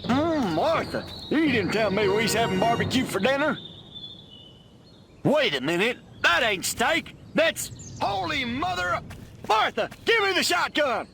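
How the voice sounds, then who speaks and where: A high, excitable-sounding country man with a rural Southern drawl for a video game. His pitch bounces around, with clear outdoor-like noise behind him. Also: a little slow, full character voice. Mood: bewildered. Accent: rural Southern American. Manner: drawling, folksy. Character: folksy, startled 1950s country man. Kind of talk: video game cutscene acting.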